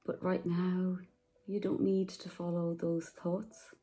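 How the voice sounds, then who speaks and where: A woman guiding a meditation, speaking softly and slowly with long pauses. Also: fairly low pitch, little pitch movement, faint music behind. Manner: slow, soft, soothing, with long pauses. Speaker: meditation guide. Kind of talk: guided meditation.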